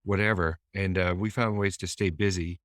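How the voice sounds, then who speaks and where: A man's voice: low-pitched, steady tone, moderate pace, a bit bright, no echo, clean studio sound. A man from Boston, a musician, talking in a thoughtful, meandering way with many restarts as a guest over a video call. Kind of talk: casual interview chat.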